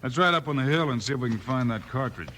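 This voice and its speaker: Casting: male actor for resolute western lawman, medium pitch, bright clean-cut delivery, vintage radio drama. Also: lively intonation, fast, natural voice. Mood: businesslike. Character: calm, resolute Old West lawman hero. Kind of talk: 1950s radio western acting. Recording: faintly noisy old radio recording.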